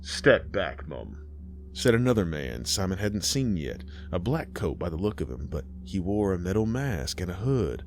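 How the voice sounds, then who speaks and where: A man narrating a horror story in a slow, grim, deliberate way. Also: low-pitched, big pitch swings, a little mellow, faint music behind.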